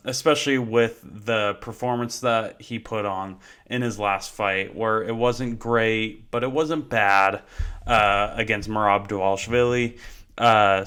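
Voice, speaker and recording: Slow-talking young man hosting a fight show. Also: a bit bright, clean tone. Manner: exasperated rants with rising pitch; many fillers, unhurried delivery. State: slightly hoarse from yelling. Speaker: host. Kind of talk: solo sports talk show.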